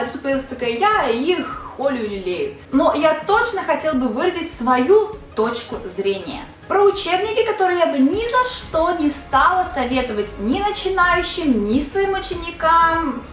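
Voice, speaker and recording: A woman's voice: high-pitched, a bit bright, reverberant. A young Russian woman, a Korean language teacher, chatting casually in a video lesson and asking the viewer rhetorical questions.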